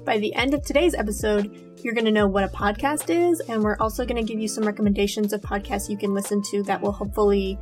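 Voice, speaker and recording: A woman's voice: mid-range voice, fast, hardly any pauses, faint music behind. Earnest young woman podcast co-host. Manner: earnest, upbeat. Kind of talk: casual podcast hosting.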